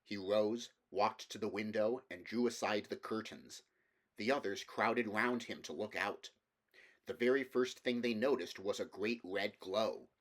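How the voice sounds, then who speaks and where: A man's voice: fairly low pitch, a little slow. A man reading a fantasy novel aloud as scripted storybook narration. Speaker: amateur audiobook reader.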